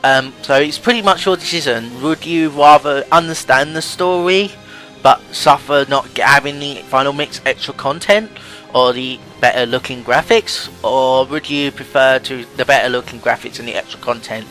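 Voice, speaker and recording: A man's voice: mid-range voice, normal speed, bright, forceful tone, poor audio. A young man giving casual, rambling and hesitant let's play commentary over game music. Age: young adult.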